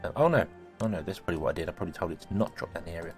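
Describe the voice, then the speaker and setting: A man, casually thinking aloud as he plays a building game, his voice a little croaky from a cold. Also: sits low, animated, very fast, soft background music. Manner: thinking aloud, rambling, self-correcting. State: croaky, sneezy voice. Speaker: gaming video host. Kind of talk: casual let's-play commentary.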